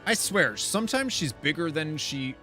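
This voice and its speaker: A man streams a game with a bright, forceful voice and big swings in intonation. He is casual, slangy and expressive, with game music softly behind him. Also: on the high side, normal speed. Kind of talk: casual gameplay chat, reading quest text aloud.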